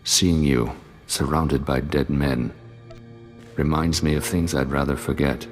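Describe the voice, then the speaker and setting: Very deep, somber Jedi. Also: male voice, fairly even intonation, measured pace. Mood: haunted, sorrowful. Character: remorseful, haunted, very deep-voiced male Jedi. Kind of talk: dramatic scripted game acting. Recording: long hall-like reverb, music behind.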